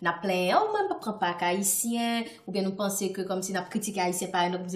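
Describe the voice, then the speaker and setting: A woman from Haiti with a Haitian accent hosting a talk show interview, speaking haltingly and mixing languages. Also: mid-range voice, animated, reverberant. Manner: halting, switches between English, French and Creole, many fillers.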